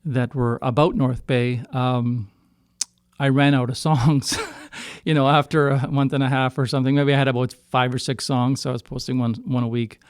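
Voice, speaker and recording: Clear-voiced middle-aged Canadian man, halting storyteller. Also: medium pitch, little pitch movement, no echo, clean studio sound. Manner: halting, circling back to rephrase. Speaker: singer-songwriter guest. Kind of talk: casual storytelling in a podcast interview.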